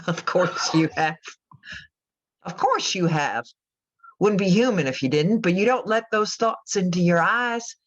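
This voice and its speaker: A woman acting in a radio drama as an anguished, guilt-ridden mother in prison, speaking in a halting, confessional way. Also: on the low side, lively intonation, muffled recording. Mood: wry, moved. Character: guilt-ridden imprisoned mother who killed her daughters.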